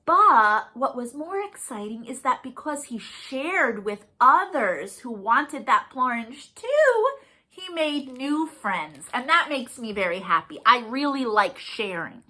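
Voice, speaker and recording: A woman's voice: high-pitched, sing-song, slow pace, pushed and bright. A woman leading a children's storytime, speaking expressively and encouragingly in simple, sing-song phrases for young listeners. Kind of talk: animated children's storytime, reading, explaining and singing to young children.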